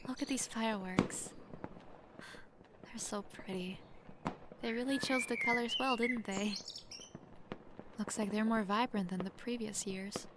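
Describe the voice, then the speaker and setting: A young woman playing a sheltered, lonely princess who is playful, clingy and outspoken, in an expressive, chatty manner for an intimate roleplay audio drama. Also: mid-range voice, unhurried, pauses often, natural voice. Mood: wistful, relaxed. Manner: expressive, chatty, swinging from giddy to wistful. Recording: faint background noise, hobbyist home microphone.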